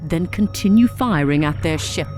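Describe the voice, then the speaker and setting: A woman's voice: low-pitched, expressive, moderate pace, music in the background. A woman playing a cold, vindictive alien ship commander in an audio drama, curt and commanding.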